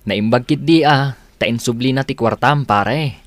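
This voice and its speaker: Male actor for an easygoing Ilocano pal, average pitch, soothing. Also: normal speed, soft background music, lightly acted. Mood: reassuring. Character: supportive male best friend of the hero. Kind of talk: radio drama acting.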